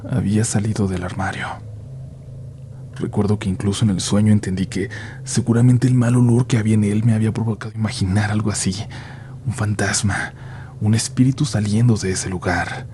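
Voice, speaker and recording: Man with a low, dark voice. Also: slight background noise. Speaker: horror story narrator. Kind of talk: scripted first-person horror narration.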